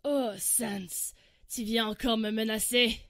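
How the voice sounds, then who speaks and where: A woman plays a fierce, competitive warrior monster in a comic dub. She speaks slowly and brashly in a fairly high voice, recorded very dry. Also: steady tone, gravelly, a bit bright, dry recording, exaggerated voice. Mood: earnest, softened.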